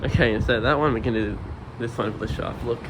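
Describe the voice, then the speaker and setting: A man's voice: mid-range voice, fast talker, very noisy. A young man, a bit sick, giving casual, chatty, technical commentary while filming inside a lift. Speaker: vlogger.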